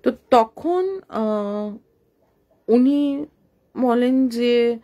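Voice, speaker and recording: A woman's voice: a little high, expressive, very slow, warm tone. A woman telling a supernatural story in an informal, conversational way, mixing in English words. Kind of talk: informal spoken horror storytelling.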